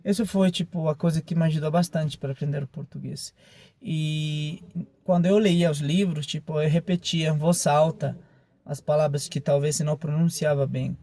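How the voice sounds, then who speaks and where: A man with a Bolivian accent and Spanish as his first language, giving a relaxed, casual testimonial full of fillers. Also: a little high, moderate pace.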